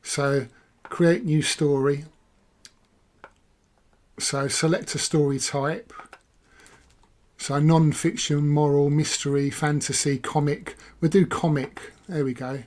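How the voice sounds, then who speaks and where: Hoarse man demoing software. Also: fairly high pitch, fairly even intonation, takes it slowly, long pauses. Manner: rambling, self-correcting, thinking aloud. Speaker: software reviewer. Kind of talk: casual screen-recorded walkthrough.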